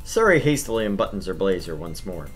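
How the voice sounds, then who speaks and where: A man with a very deep voice, chatting and narrating casually as he streams a visual novel. His pitch moves around a lot, and music plays behind him. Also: big pitch swings, fast. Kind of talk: casual chat and playful narration.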